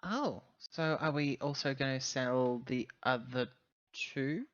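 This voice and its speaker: A man playing a roleplaying game, talking casually in character with halting, self-correcting explanations. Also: medium pitch, a bit bright, dry recording, dull audio. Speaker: tabletop roleplaying player.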